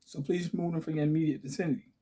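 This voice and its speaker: A man hosting his own channel in a casual, chatty way, with a raspy voice, often checking in with "okay?" and "right?". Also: on the high side, steady tone, fast talker, warm tone. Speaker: channel host. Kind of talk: casual direct-to-camera talk.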